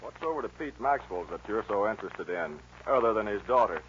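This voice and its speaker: An actor playing an earnest, steady American lawman in a 1940s radio western, plain-spoken and urging. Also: fairly low pitch, brisk, close to an everyday voice. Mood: persuasive. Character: earnest, steady lawman friend warning a young gunfighter. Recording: dull, hissy old radio recording.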